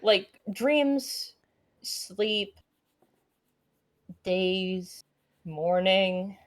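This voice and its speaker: A woman co-hosting a book club, explaining things casually in a measured, halting way and reading character lines aloud. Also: mid-range voice. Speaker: co-host reading a visual novel aloud.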